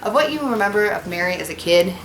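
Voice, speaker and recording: Female schoolteacher, mid-pitched voice, informal and explanatory, with some noise on the recording. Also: lively intonation, fast, fairly bright tone, echoey room, rough recording. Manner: informal, explanatory, speaks simply to children. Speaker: elementary school teacher. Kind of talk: read-aloud lesson with casual explanation to pupils.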